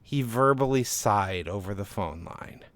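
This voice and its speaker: A man's voice: fairly low pitch, lively intonation, measured pace, fairly bright tone, no echo. A man hosting a fiction podcast and reading a scripted first-person short story as narrator.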